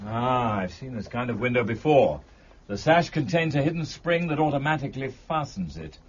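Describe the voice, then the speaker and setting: An actor playing a reclusive, brilliant gentleman detective in a period mystery drama, with precise, formal, analytical speech. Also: medium pitch, expressive, moderate pace, husky, lo-fi sound. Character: reclusive, brilliant gentleman amateur detective, weary then energized.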